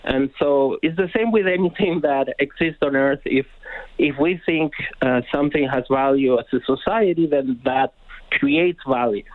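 A man from Argentina, a finance educator, explaining money slowly and carefully with many pauses, heard over a phone line. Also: medium pitch, dry recording. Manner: slow, measured, hesitant with fillers and restarts. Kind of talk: explaining finance on a radio call-in. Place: on a phone call into a radio studio. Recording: tinny phone line, dry.

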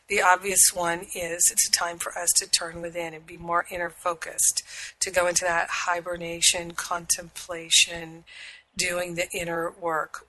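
A middle-aged woman with a husky voice, hosting a spiritual radio show, speaking slowly and soothingly while recovering from a cold. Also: lowish voice, pushed and bright, a little hiss or hum, poor audio. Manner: slow, soothing and affirming. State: recovering from illness. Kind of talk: prayer and spiritual teaching talk.

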